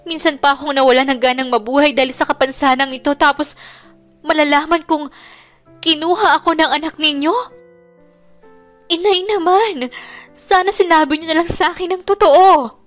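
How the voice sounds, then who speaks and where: A woman's voice: high voice, fairly bright tone, pitched above the performer's own voice. A woman voicing a hurt, distraught young daughter who speaks emotionally and reproachfully in a story drama.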